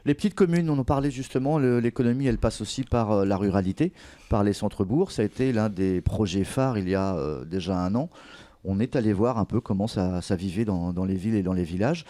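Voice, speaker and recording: A man's voice: on the low side, lively intonation, brisk, dark tone. A man hosting a conversational broadcast interview, asking questions in an informal way. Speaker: interviewer, show host.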